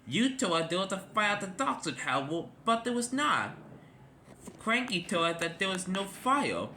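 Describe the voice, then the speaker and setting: A man doing a shrill worked-up fire engine. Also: very animated, unhurried, sharp tone, a little hiss or hum, full character voice. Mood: indignant. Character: excitable male fire engine.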